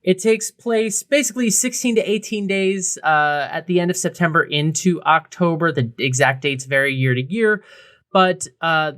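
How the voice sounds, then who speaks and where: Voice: medium pitch, a bit bright. A podcast co-host chatting casually and comedically, with wry, sarcastic asides and slangy phrasing. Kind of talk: casual comedic chat about a beer festival.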